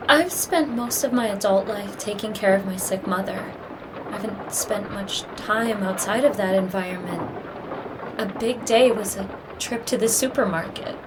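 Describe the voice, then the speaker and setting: A woman's voice: mid-range voice, moderate pace, background noise, lightly acted. An actress playing a timid, sheltered woman, hesitant and earnest, in a horror audio drama. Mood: earnest, confiding. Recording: loud storm and ambience behind.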